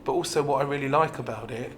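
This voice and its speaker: A man's voice: medium pitch, fast. A man with a British accent talking informally and reflectively in an interview, often letting a thought trail off. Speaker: pantomime dame performer. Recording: roomy echo with background noise.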